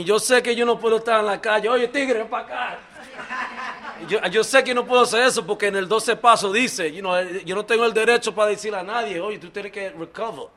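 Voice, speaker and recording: A middle-aged Dominican-American man with a fairly high voice speaks in an earnest, halting way. He restarts words often and drops English fillers between his Spanish phrases. This is unscripted personal testimony at a recovery meeting. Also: a bit bright. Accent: Dominican-American, mixing English into Spanish. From New York, Dominican family.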